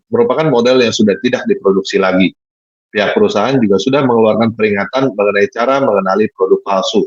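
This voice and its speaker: A man's voice: fairly low pitch, breathy edge, no echo, highs cut off. A man narrating a scripted news report.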